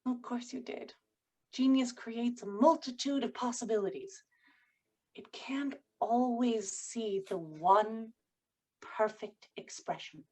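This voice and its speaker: A poised, controlling wife in a literary period drama, acted by a woman. Her voice sits fairly high with a husky edge. She phrases things formally and persuasively, always genteel. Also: slow pace, a little mellow, rough recording. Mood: soothing, coaxing. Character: Juliana, poised, controlling, coaxing wife of a writer. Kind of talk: screenplay table read, period literary drama.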